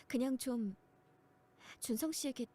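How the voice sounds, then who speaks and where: A young Korean woman in a visual novel, speaking very fast in a breathy voice. She is a guilt-ridden colleague who reluctantly leans on her partner, pitched a little high, with faint music behind her. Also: warm tone. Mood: downcast, guilty.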